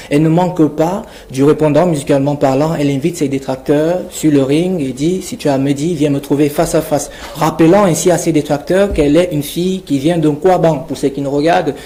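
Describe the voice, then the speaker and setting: A man's voice: a little high, dark tone. A man with a Cameroonian accent reading a scripted portrait of a guest in a theatrical, declamatory manner. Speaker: radio columnist presenting a guest portrait.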